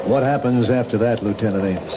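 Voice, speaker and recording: An actor playing a concerned, protective husband with an earnest, measured delivery in an old-time radio drama. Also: male voice, on the low side, natural voice. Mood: indignant. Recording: muffled old radio recording, faint noise.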